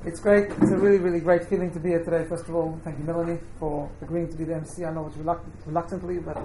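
A woman giving an informal welcome speech as an organiser, joking and somewhat halting as she talks. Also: lowish voice, flat delivery, mellow. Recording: echoey room, faint background noise.

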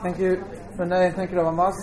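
A woman giving an informal welcome speech as an organiser, joking and somewhat halting as she talks. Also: lowish voice, level tone, a crowd in the background. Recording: echoey room, faint background noise.

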